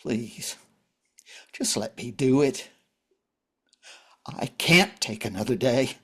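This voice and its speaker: An actor playing a grieving, pleading widower and recovering addict in a drama reading, speaking earnestly and haltingly. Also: male voice, medium pitch, expressive, fairly bright tone, breathy edge, heavily acted. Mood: desperate, pleading. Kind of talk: script reading of a stage drama.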